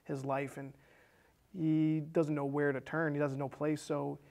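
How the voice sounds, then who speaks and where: An American man in his thirties talks through a movie in a casual, conversational way. His voice sits a little higher than average, and he tends to circle back and repeat himself as he explains. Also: flat delivery, unhurried, vocal fry, darkish tone. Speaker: film discussion show co-host. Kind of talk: casual conversational film recap. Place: small indoor studio set with curtain.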